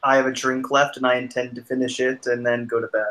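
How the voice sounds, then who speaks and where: A man's voice: mid-range voice, flat delivery, fast, fairly bright tone. A man in a casual voice chat, correcting his friends' grammar in a pedantic way.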